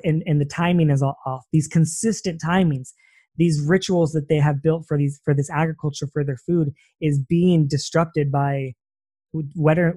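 A male podcast host with a fairly high but mellow voice, recorded close and dry. He rambles conversationally, piling up fillers and restarts as he explains things. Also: dry recording. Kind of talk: casual interview conversation.

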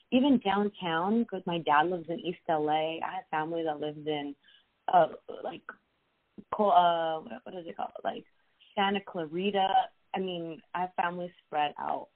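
Young woman guest, lowish voice, rambling casual chat, tinny phone-line sound. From Los Angeles, USA. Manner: chatty, many fillers and restarts. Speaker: podcast guest, social media creator.

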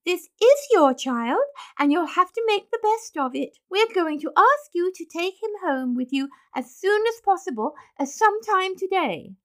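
A woman's voice: extremely high pitch, sing-song, measured pace, voice pushed up, full character voice. A woman voicing a stern, contemptuous hospital nurse, severe, insistent and scolding, in a storybook reading. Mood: firm, scolding.

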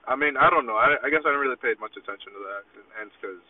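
A man's voice: medium pitch, racing, no echo, recorded from a distance. A man chatting casually as a podcast guest in a car, hesitant and agreeable, often trailing off. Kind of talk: casual chat. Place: parked car. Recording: tinny phone-like audio, faint car noise, off-mic.

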